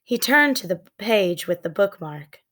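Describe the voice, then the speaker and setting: A woman's voice: medium pitch, expressive, unhurried, fairly bright tone. A woman reading scripted narration from a children's storybook. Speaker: storybook reader.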